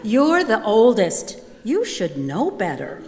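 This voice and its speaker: A woman's voice: fairly high pitch, wildly swooping pitch, takes it slowly, darkish tone. A woman reciting motherly sayings in a theatrical readers theater style. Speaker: readers theater performer at church. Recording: echoey church hall with long reverb.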